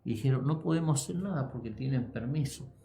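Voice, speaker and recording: Man, radio host, gravelly, halting, echoey studio, faint hiss. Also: medium pitch, mellow. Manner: halting, many "eh" pauses, answers with "tremendo".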